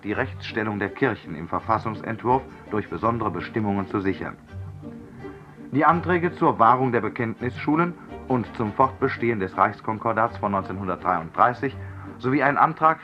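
A man's voice: on the low side, natural voice. An actor playing a formal male radio announcer, reading a factual news bulletin. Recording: dull radio sound, faint music.

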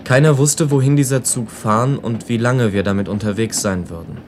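A man's voice: medium pitch, brisk, a little mellow, slight background noise. A man giving a sober, descriptive reading of literary memories for a radio documentary. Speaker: narrator.

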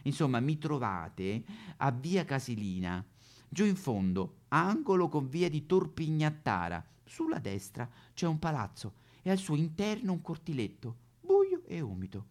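A man's voice: fairly high pitch, huge pitch swings, a little slow, a little mellow. A man playing an aggrieved, neglected female Madonna statue in a satirical stage monologue, plaintive and melodramatic with a Roman accent. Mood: weary, complaining. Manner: melodramatic, plaintive, sudden swoops into wailing. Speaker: comic actor.

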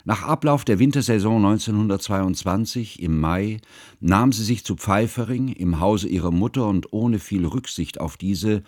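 An actor playing an earnest, learned male chronicler, narrating a literary radio drama in formal, measured, pedantic diction. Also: on the low side, a little mellow, no echo, clean studio sound, close to an everyday voice. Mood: grave, regretful.

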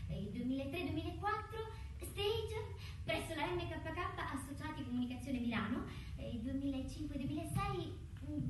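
A nervous young woman graduate in a radio drama. Her voice is high and earnest, a little hesitant. It sounds distant in a very reverberant space with clear background noise. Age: young adult. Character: anxious, eager young female graduate seeking a job. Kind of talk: stage-style radio drama monologue and dialogue. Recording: roomy, distant, noisy theatrical recording.

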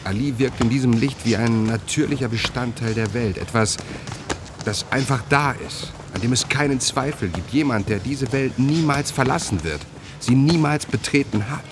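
An actor playing a reverent reporter, giving lyrical scripted narration in a radio drama. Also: male voice, medium pitch, moderate pace, background noise, lightly acted. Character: reverent male reporter recounting a scene, lyrical.

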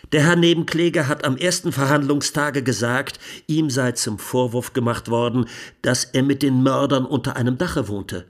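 An actor playing an incisive 1920s German defence lawyer, delivering a measured, emphatic closing speech in a radio docudrama. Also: male voice, medium pitch, close to an everyday voice. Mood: insistent, reproachful. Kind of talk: dramatised courtroom closing speech.

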